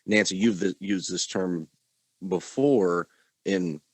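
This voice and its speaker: Deep-voiced man, casual podcast host. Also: takes it slowly, darkish tone, no room sound. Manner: casual, swears lightly. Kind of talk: casual chat.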